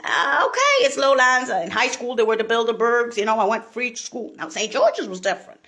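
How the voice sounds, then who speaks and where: A woman from Italy, rambling in a profane monologue, laughing and bursting into shouts. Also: on the high side, very animated, brisk.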